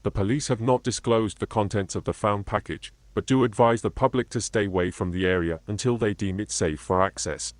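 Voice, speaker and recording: A man reading a scripted emergency broadcast announcement in a formal, measured manner, as a continuity announcer. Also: on the low side, no room sound.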